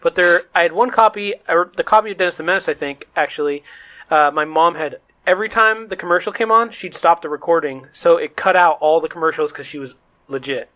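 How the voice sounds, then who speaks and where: A man in his thirties with a bright, somewhat high voice. He chats casually as a podcast co-host, easygoing and good-natured as he goes. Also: brisk. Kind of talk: casual chat.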